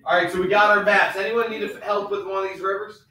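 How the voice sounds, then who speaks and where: Man, teacher, high voice, informal instruction, questions to class, far from mic, strong room echo. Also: level tone, quick pace, sharp tone. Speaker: school teacher. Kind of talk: classroom lesson, explaining and questioning. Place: school classroom, distant mic, noise behind.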